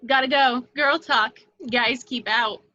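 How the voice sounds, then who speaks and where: A woman's voice: sits high, fairly even intonation, takes it slowly, sharp tone, muffled recording, full character voice. A woman playing a sly, flirtatious villainess with a pout in a script table read. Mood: teasing.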